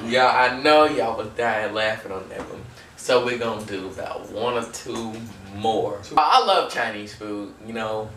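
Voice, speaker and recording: Low-voiced young American man, echoey room. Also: unhurried, fairly bright tone. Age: teenager to young adult. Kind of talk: casual chat. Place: small room indoors on a couch. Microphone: camera a few feet away. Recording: echoey, distant camera mic, faint noise.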